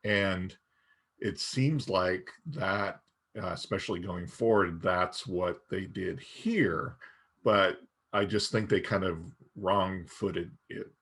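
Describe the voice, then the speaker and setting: Husky, low-pitched man in casual discussion. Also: slow, fairly bright tone. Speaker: podcast co-host.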